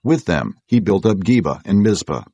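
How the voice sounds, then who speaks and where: A man with a deep voice narrates scripture from a script. His delivery is measured and formal, with a slightly rough grain and very little room sound. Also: very animated, mellow, no echo. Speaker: audiobook narrator.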